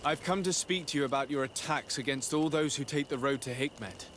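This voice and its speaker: A man playing a calm, courteous noble envoy in a video game, speaking in a measured, formal, conciliatory way. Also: mid-range voice, a little hiss or hum, close to an everyday voice. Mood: reasoning, concerned. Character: calm diplomatic male legate, courteous young noble envoy. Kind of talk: scripted video game cutscene dialogue.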